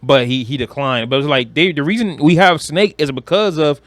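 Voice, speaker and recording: Male co-host, somewhat high pitch, dry recording, casual slangy banter. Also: brisk, dry recording. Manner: slangy, conversational. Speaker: podcast co-host. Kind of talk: casual chat about video games and film.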